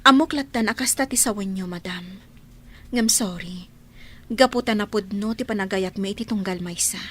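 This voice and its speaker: A woman with an Ilocano Filipino accent playing a sincere, steadfast young student in love, polite and calmly firm, in a radio drama. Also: medium pitch, normal speed, close to an everyday voice. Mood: earnest, resolute.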